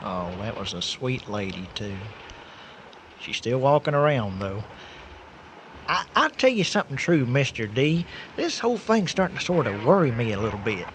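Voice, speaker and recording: A man's voice: mid-range voice, sing-song, moderate pace, fairly bright tone, a little hiss or hum. A man playing an anxious, well-meaning country fellow in a radio comedy-drama, stammering and folksy. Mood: worried, uneasy. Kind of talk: old-time radio comedy-drama acting.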